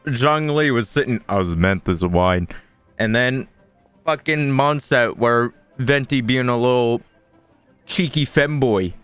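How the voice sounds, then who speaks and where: Man, game streamer, casual reaction commentary, laid-back, mid-pitched, faint music behind. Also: slow pace, fairly bright tone, clean tone, no room sound.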